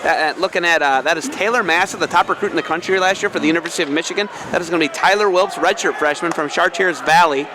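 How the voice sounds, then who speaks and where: Male play-by-play announcer, rapid delivery, clipped technical wrestling calls, loud venue noise. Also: fairly high pitch. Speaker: sports radio commentator. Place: indoor wrestling tournament venue. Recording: noisy live sports venue. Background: loud arena ambience.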